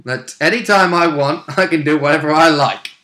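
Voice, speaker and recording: A man's voice: on the high side, normal speed, bright, forceful tone. A man chatting casually as co-host about a tabletop role-playing session, with wry, jokey interjections and a readiness to chuckle. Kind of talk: casual chat.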